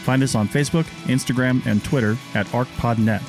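A man's voice: mid-range voice, steady tone, a little fast, soft background music. A man co-hosting a podcast, easygoing in chat and reading the show outro. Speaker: podcast co-host.

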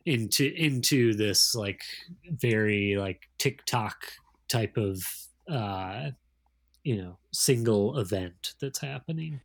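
A man with a fairly low voice speaks casually as an editor being interviewed. He is thoughtful and explanatory, feeling his way through each point in a halting manner. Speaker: magazine editor, interview guest.

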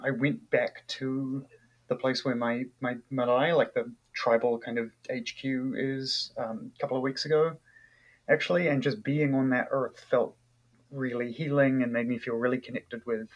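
A man's voice: mid-range voice, lo-fi sound. A man from New Zealand with a New Zealand accent, an author talking casually in an interview, thoughtful and full of fillers and restarts.

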